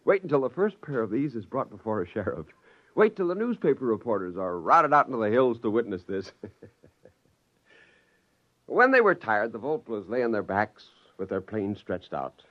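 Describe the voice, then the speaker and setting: An actor playing an excitable scientist father who narrates and acts in an old radio drama. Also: mid-range voice, moderate pace. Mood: gleeful narrating. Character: excitable, gleeful scientist father narrating his secret creation. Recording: dull lo-fi old radio, music underneath.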